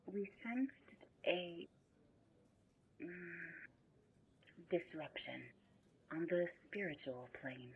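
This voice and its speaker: A woman's voice: sits low, slightly rough, heavily acted. A woman acting in a supernatural audio drama as a meek, earnest, otherworldly assistant, hesitant and deferential, in broken phrases, on a muffled recording. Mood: uneasy, careful.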